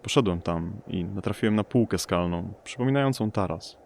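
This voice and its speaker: A man playing a somber investigator who narrates an audio drama in a measured, observational way. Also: low-pitched, a little mellow, slight background noise. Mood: quiet reflection. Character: somber male investigator searching a storm-struck family home. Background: music behind the voice.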